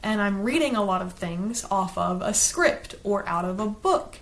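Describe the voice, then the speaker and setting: A woman's voice: medium pitch, expressive. Chatty Southern woman from South Carolina. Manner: quick-talking, chatty and earnest, slows down to enunciate. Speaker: channel host, book reviewer. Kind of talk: casual talk to camera, partly read from a script.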